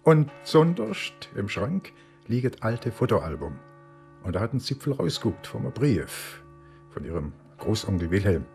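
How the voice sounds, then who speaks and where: An actor playing a good-humoured provincial police inspector with a Swabian accent and a folksy, rambling manner in a radio play. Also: male voice, on the low side, sing-song, a little slow, creaky, a little mellow, soft background music, heavily acted. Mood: explaining.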